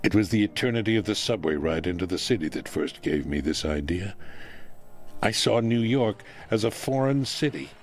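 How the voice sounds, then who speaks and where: A man giving a measured, expressive literary reading of memoir excerpts. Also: on the low side, faint music behind.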